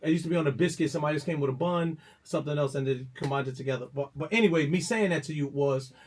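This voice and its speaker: A man, a radio host and DJ, telling stories in a rambling, halting way with many restarts during a casual interview. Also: a little high.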